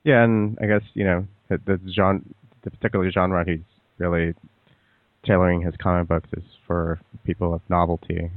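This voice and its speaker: A man's voice: sits low, little pitch movement, moderate pace, no room sound. A man hosting a podcast interview, chatting casually in a giggly, teasing way, with many ums. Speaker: podcast host. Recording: dry, tinny phone-like line.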